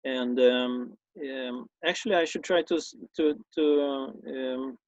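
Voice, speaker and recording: A man's voice: medium pitch, no echo, dull audio. A man from Sweden with a Swedish accent, a native Swedish speaker and former schoolteacher, giving an informal explanatory talk in a hesitant way, full of fillers and restarts. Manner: hesitant, halting with many fillers and repeated restarts.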